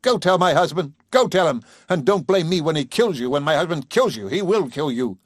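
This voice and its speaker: A man's voice: medium pitch, expressive, moderate pace, no echo, clean studio sound, voice pushed up, lightly acted. A man voicing a proud young wife, defiant yet shaken, speaking in a tense, clipped way.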